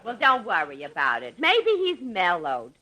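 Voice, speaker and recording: An actress playing a soothing, practical wife in 1950s radio comedy, deliberate and reassuring. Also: female voice, fairly low pitch, huge pitch swings, sharp tone, exaggerated voice. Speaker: comic actress. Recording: 1950s radio broadcast, audience behind. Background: studio audience.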